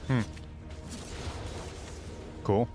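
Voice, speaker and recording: A man giving casual, off-the-cuff reaction commentary in short remarks as a video host. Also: very slow. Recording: music from the watched video behind. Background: music from the video he watches.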